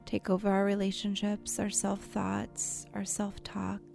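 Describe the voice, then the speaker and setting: A woman leading a guided imagery meditation in a soft, soothing, gentle voice that turns breathy at times, with unhurried pauses. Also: mid-range voice, completely flat delivery. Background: soft background music.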